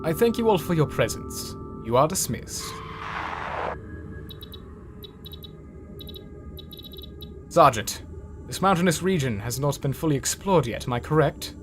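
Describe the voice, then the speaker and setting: A man's voice: fairly high pitch, animated, a little fast, over music, natural voice. A man voicing a haughty, irritable space opera general in a comic dub, speaking in a clipped, formal, imperious manner. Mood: stern, controlled. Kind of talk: dramatic voice acting in a comic dub.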